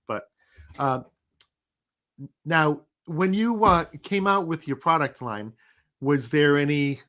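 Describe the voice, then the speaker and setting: A man's voice: mid-range voice, fairly bright tone. A middle-aged man with a Rhode Island accent hosting a casual live chat, reading out viewer comments as he goes. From New England. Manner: chatty, reads out viewer comments. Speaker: livestream host.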